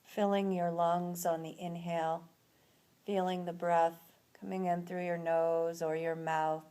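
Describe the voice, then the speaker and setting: A woman leading a guided relaxation as an instructor, speaking in a soothing, unhurried manner with long pauses between phrases. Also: fairly low pitch, little pitch movement, mellow, clean tone.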